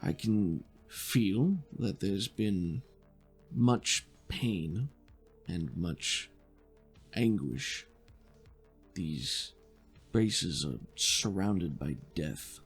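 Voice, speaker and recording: A man voicing an intense, suspicious male psychic in a tabletop game, speaking in dramatic, halting phrases with weighty pauses. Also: low voice, animated, husky, faint music behind, pitched below the performer's own voice, full character voice. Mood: grave, haunted. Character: intense probing male psychic who reads objects, grave and suspicious.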